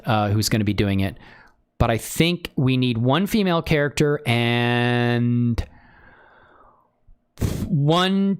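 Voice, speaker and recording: Animated, chatty livestream host. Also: mid-range voice, clear, resonant tone. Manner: chatty, enthusiastic, informal slang. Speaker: livestream host and tutorial creator. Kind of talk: casual livestream chat with software tutorial.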